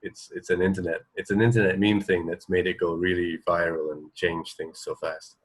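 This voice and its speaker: A man with a low-pitched voice chatting casually as a radio co-host. He feels his way through sentences, repeating words and checking in with small questions. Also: level tone, normal speed, husky. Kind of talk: casual chat.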